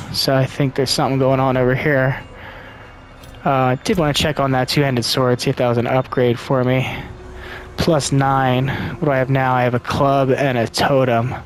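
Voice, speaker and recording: A soft-spoken man giving casual let's-play commentary into a desktop microphone, thinking aloud with lots of ums and uhs. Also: mid-range voice. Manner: rambling, thinking aloud with many fillers.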